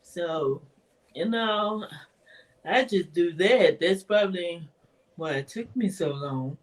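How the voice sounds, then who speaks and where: A woman with a naturally soft voice answers interview questions in a slow, hesitant way, restarting her sentences and laughing often. She speaks at an unhurried pace. Her voice sounds distant, as if she is sitting well back from the microphone. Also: lowish voice. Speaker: interviewee, author. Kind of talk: casual conversational interview. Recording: voice from far off the mic.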